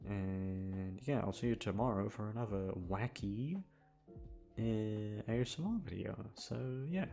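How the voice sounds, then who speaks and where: A man's voice: on the low side, a little slow, gravelly, dark tone, faint music behind. A man chatting casually to his listeners as an ASMR creator, rambling hesitantly with self-deprecating swearing. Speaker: ASMR audio creator and host.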